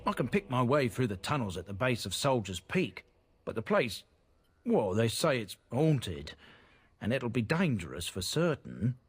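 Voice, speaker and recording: Husky, earnest merchant. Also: male voice, mid-range voice, very animated, moderate pace. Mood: earnest pleading. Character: earnest male merchant petitioning a Warden for help. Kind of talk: fantasy game dialogue acting. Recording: game dialogue over background music.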